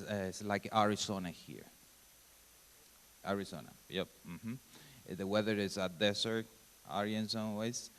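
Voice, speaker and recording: A man in his thirties from Argentina, a native Spanish speaker with an Argentine accent, giving an informal mission talk at church in halting, simple English. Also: on the low side. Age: 30s. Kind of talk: church presentation. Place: church sanctuary. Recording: long hall reverb.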